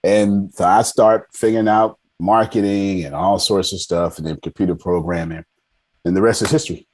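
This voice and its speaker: Male, 40, lowish pitch, podcast guest, casual and self-deprecating storyteller who repeats himself for emphasis. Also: fairly even intonation, moderate pace, darkish tone. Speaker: podcast guest, army veteran. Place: indoors on a video call.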